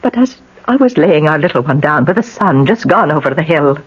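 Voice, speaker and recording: Breathy, anxious young Irish wife. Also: female voice, low-pitched, lively intonation, brisk. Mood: worried. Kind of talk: radio drama acting. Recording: dull lo-fi vintage radio recording.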